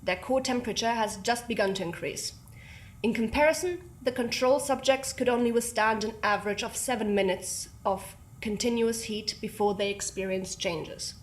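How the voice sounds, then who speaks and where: An actress playing a reserved research scientist in an audio drama, speaking in a formal, measured, deadpan way as if dictating a log. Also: female voice, fairly high pitch, a little hiss or hum, close to an everyday voice. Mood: clinical. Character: reserved, clinical female research scientist, drily unamused.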